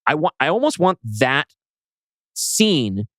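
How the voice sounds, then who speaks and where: A man's voice: on the high side, sing-song, slow, sharp tone, dry recording, clean studio sound. A man co-hosting a podcast as a scriptwriter, talking casually and informally.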